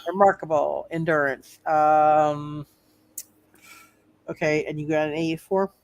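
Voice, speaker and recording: Halting, repetitive game master. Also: medium pitch, steady tone, dry recording, lo-fi sound. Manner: halting, repeats phrases. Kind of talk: live tabletop roleplaying game refereeing.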